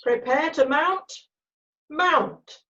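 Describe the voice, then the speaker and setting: Woman as a slow-spoken, resolute cavalry officer. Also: high-pitched, sharp tone, highs cut off, full character voice. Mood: commanding. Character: resolute, formal male British cavalry officer. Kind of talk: amateur screenplay read-through.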